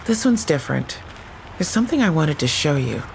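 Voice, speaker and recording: A woman's voice: deep voice, big pitch swings, a little fast, gravelly, slight background noise, full character voice, rough recording. An actress playing an earnest female patrol officer in an audio drama, reporting in a precise, matter-of-fact way. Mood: earnest, insistent.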